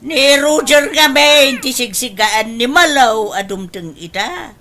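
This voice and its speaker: High, excitable Ilocano mother. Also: slow, full character voice. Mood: coaxing. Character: bossy, excitable mother of grown daughters. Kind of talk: Ilocano comedy radio drama acting.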